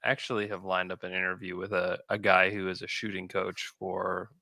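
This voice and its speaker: A man's voice: sits low, level tone, brisk, vocal fry, bright, forceful tone, no room sound. A man hosting a sports podcast, discussing basketball in a casual, conversational way.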